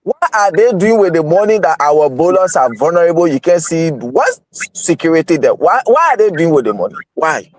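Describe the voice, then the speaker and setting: A man's voice: fairly high pitch, sing-song, moderate pace. A man hosting a radio talk show, pressing his guest with insistent questions. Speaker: radio host. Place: radio studio.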